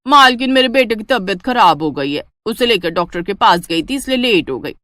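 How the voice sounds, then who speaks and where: A woman's voice: mid-range voice, expressive, brisk, bright, forceful tone, dry recording, clean studio sound. A woman voicing a wheedling, insistent housemaid full of excuses in a family story. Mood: pleading. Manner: insistent, pleading. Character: wheedling, excuse-making housemaid demanding money.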